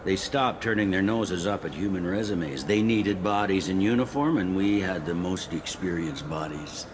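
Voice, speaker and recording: A man's voice: low voice, moderate pace, natural voice. A man playing a wry, pragmatic police captain on a space station in a video game. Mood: blunt. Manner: wry, blunt, matter-of-fact. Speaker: security captain character. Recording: clearly audible ambient noise.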